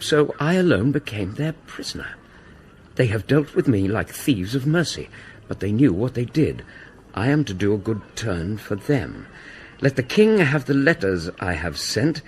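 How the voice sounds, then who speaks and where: Dramatic prince, highly animated. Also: male voice, slight background noise. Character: princely adventurer reading out his urgent letter. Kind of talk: classical Shakespearean acting.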